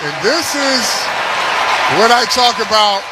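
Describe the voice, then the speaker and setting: A man's voice: very high voice, measured pace, over a noisy crowd. An American man giving blunt, exasperated live football analysis as a radio color commentator. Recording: noisy stadium booth. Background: stadium noise.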